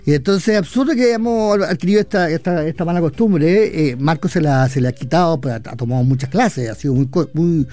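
A Chilean man and radio columnist talking in a casual chat, his speech rushed and halting with many restarts. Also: on the high side, warm tone. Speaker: columnist guest. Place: radio studio.

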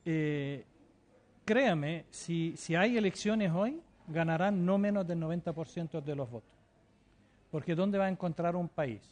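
A man's voice: fairly high pitch, measured pace, darkish tone. A man giving a TV interview, answering fervently and insistently, often hesitating and repeating words. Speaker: interview guest living in the Emirates.

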